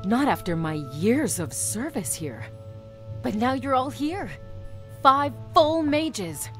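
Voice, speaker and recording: Woman putting on an animated, effusive young female mage. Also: high voice, slow, soft background music, exaggerated voice. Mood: strained cheer. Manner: effusive, exclamatory. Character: bubbly young female court mage, eager, affectionate. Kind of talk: visual novel dialogue read aloud.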